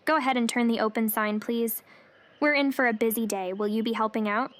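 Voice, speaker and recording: A woman playing a modest, self-doubting young female satyr potion maker in a fantasy audio drama, soft-spoken and unassuming. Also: a little high, steady tone, a little fast, natural voice. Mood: businesslike, friendly.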